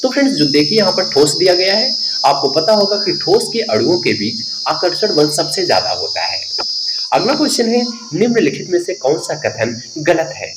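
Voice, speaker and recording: A man teaching an exam quiz lesson, reading out questions and explaining answers in a sing-song way. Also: on the high side. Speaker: science teacher for exam preparation. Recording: echoey room, steady chirping insect-like noise.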